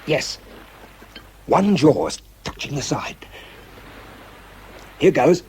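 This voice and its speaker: An actor with a British accent playing a tense, self-doubting wartime bomb disposal man in a radio drama, shifting between clipped reports and quiet confession. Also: a little high, wildly swooping pitch, drawn-out words, a little hiss or hum, exaggerated voice. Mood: tense, concentrated. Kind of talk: radio drama acting, first-person narration and spoken report.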